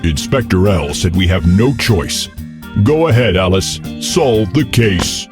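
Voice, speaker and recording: A man voicing a serious, dutiful bison police captain in a children's cartoon, with a husky voice and an official manner. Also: sits low, lively intonation, measured pace, over music. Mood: resigned.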